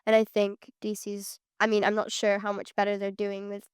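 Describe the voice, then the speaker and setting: A young woman in her late teens, a student panelist answering questions in a measured way with frequent ums. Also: medium pitch, monotone, clear, resonant tone, no room sound, clean studio sound.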